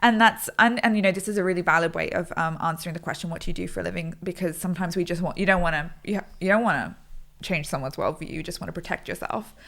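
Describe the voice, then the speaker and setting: A woman's voice: lowish voice, fast, gravelly, hardly any pauses, a bit bright. A young woman co-hosting a casual podcast, candid and tired, who swears casually and laughs easily. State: low energy, tired. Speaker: podcast co-host. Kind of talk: casual chat.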